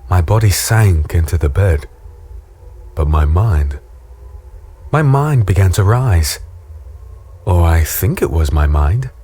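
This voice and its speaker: A man playing a battered, weary human in a dark fantasy audio drama, wry and fatalistic as he tells his story. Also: low-pitched, big pitch swings, takes it slowly, long pauses, breathy edge, full character voice. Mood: bewildered, wry.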